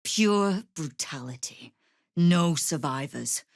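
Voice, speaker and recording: A woman's voice: deep voice, expressive, slow pace, creaky, no room sound, full character voice. An actress playing a shrewd, hard-edged female crime guild boss in a video game, with a dry, wry manner and veiled threats. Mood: serious. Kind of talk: scripted video game voice acting.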